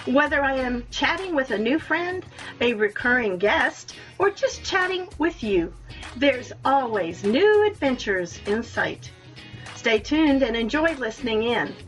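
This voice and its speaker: Higher-pitched older woman hosting radio. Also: sing-song, a little slow, fairly bright tone, soft background music, low-quality recording. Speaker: radio show host. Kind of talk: casual radio interview.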